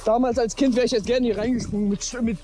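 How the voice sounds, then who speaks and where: A man's voice: extremely high pitch, steady tone, fast, noisy background. A man vlogging as he runs a long trail outdoors, upbeat and talking while moving. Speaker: long-distance runner vlogging his trek. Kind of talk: vlog narration while walking.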